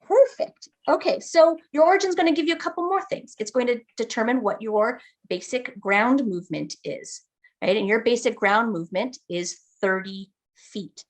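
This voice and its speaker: A woman's voice: on the high side, animated, normal speed. A woman acting as game master, patiently walking a player through character creation and often checking that they follow. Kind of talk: explaining game rules.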